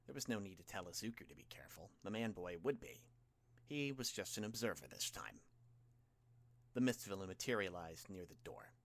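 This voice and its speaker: A man with a fairly low voice reads fiction aloud. He narrates scripted prose in the role of an audiobook reader. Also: animated, brisk, creaky.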